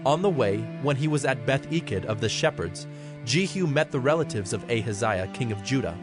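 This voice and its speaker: A man narrating scripture in a measured, formal style as part of a dramatized audio Bible. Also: medium pitch, lively intonation. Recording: background music under the voice.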